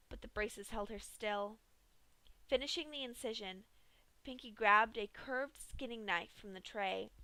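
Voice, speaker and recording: A woman reading a dark fanfic aloud in a steady storytelling manner. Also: medium pitch, dry recording, poor audio. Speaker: fanfiction reader.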